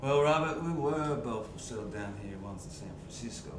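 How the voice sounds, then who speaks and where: A man's voice: mid-range voice, lively intonation, moderate pace, close to an everyday voice. A man playing an older, friendly but nosy traveler who talks in a chatty, fatherly way and hesitates. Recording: distant, echoey, noisy.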